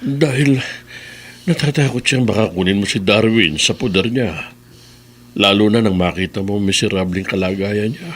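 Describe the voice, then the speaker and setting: A man playing a caring older family elder in a Filipino radio drama, serious and measured. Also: lowish voice, husky. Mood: confiding, solemn.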